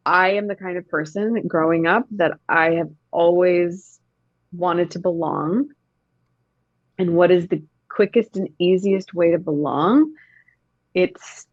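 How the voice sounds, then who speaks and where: A woman's voice: fairly low pitch, no room sound, lo-fi sound. A woman in her thirties, an actor talking in a casual interview, reflective and emphatic. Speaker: actor, podcast guest.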